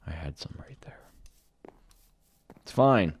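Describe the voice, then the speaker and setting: A young man with a deep, low voice talks his viewers through a modded Minecraft playthrough in a relaxed, chatty way. His throat sounds a little dry and scratchy. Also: takes it slowly, husky, no room sound. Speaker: gaming video host. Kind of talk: casual Minecraft modpack commentary.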